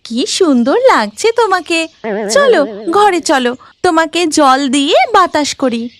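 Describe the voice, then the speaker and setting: A woman's voice: very high-pitched, animated, a little slow, fairly bright tone, no echo, voice pushed up, exaggerated voice. A woman voicing a coy, doting young wife who is a ghost in disguise, in a cartoon. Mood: coy, coaxing. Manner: coy, wheedling. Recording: music under the voice.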